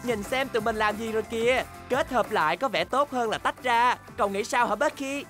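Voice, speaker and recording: A woman's voice: fairly high pitch, brisk, over music, natural voice. A woman voicing an eager, stubborn young boy bear in a children's cartoon dub, exclamatory and determined. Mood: friendly, upbeat.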